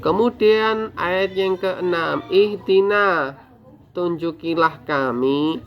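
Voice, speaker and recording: A man teaching a scripture lesson, chanting the verses slowly and then explaining them to viewers he calls friends. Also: a little high. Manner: slow drawn-out recitation, then quick explaining. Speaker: religious teacher. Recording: dull lo-fi recording.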